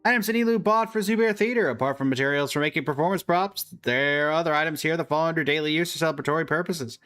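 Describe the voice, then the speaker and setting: A man's voice: fairly high pitch, fast, fairly bright tone, clear, resonant tone. A man casually commenting on a game he is playing and reading its text aloud as a streamer. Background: game music.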